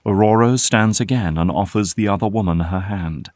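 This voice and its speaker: A man's voice: fairly low pitch, moderate pace, a little mellow, no room sound, studio quality. A man reading scripted narration for an audio drama. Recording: prominent music under narration. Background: music.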